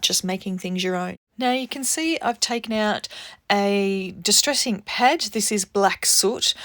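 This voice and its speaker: A woman's voice: mid-range voice, dry recording, studio quality. Woman, stuffy head-cold voice, chatty and informal, craft tutorial host, very little room sound. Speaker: craft video host and cardmaker. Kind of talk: casual tutorial narration over demonstration.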